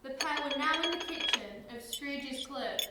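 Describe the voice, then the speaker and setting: A woman's voice: high-pitched, lively intonation, a little slow. A teenage girl narrating a radio play as scripted dramatic storytelling. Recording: distant, very echoey, noisy room.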